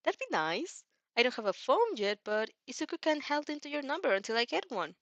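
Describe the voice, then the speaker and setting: A woman's voice: sits high, very animated, a little fast, a bit bright, no room sound, muffled recording, voice pushed up, full character voice. A woman voicing a sweet, naive teenage girl in a polite, hesitant manner for a fan fiction reading. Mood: grateful, sweet.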